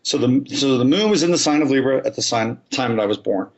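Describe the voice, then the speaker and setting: A man's voice: mid-range voice, animated, fast, creaky, highs cut off. A man, an astrologer guest on a podcast, explaining his subject methodically and step by step. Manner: methodical, talks through examples step by step.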